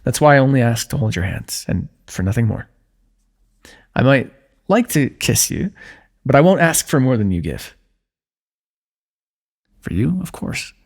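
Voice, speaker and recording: A man performing an intimate fantasy roleplay for ASMR, speaking softly in a teasing, affectionate way. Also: medium pitch, big pitch swings, unhurried, pauses often. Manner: soft, teasing and affectionate, with frequent light laughs.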